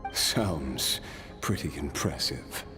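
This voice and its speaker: A defiant immortal fighter from a video game, weary and wistful. His voice is deep and moves expressively, with a rueful, wry edge. Faint music plays behind him. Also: lively intonation, slow, full character voice. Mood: amused. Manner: wry, rueful, soft chuckles between phrases. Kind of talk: dramatic video game dialogue.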